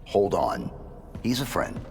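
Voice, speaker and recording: A man's voice: low voice, big pitch swings, slow, big-room sound, full character voice. A man playing a stoic, terse armored super-soldier in a video game, speaking in a clipped, commanding, restrained way. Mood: guarded. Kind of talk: video game cutscene acting.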